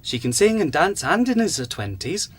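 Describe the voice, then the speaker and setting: A man's voice: on the high side, big pitch swings, normal speed, slight background noise. A man playing a breezily confident amateur theatre director in a comic radio sketch, reassuring and optimistic.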